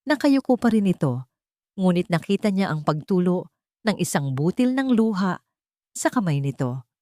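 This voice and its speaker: A woman narrating a scripted romance story in a measured, dramatic way, phrase by phrase. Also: on the low side, very animated, mellow, no echo, studio quality.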